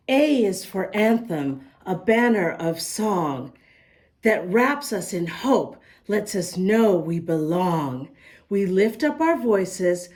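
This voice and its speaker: A woman reading a picture book aloud to young children as a storytime host, expressive and often speaking to them directly with questions. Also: fairly low pitch.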